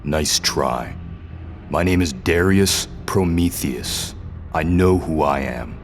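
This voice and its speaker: An actor playing a defiant, disoriented prisoner in an audio drama, a very deep-voiced man who is guarded, halting and stubborn. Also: soft background music, exaggerated voice. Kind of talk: scripted audio drama dialogue.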